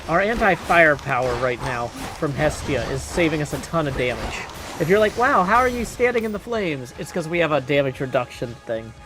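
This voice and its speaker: A man's voice: on the high side, very animated, normal speed, hardly any pauses, over music. A man giving casual live gameplay commentary as a streamer, thinking aloud as he makes his decisions. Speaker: game streamer. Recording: clear background game noise.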